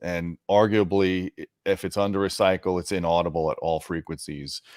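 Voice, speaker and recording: A man's voice: deep voice, steady tone, normal speed, a little mellow, no echo, studio quality. A man hosting an interview, explaining technical points conversationally and addressing viewers directly. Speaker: host interviewing an audio product manager.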